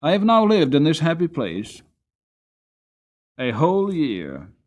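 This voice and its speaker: Guy reading a storybook dramatically, slow n careful, really expressive up and down. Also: a little high. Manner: expressive, deliberate. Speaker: audiobook reader.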